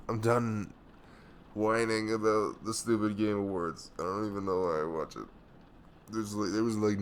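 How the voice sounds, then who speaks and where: A man's voice: on the low side, slight background noise, natural voice. A man playing a young, affectionate, nerdy best friend in an intimate ASMR roleplay, rambling informally with hesitant, self-deprecating asides. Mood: deflated, griping.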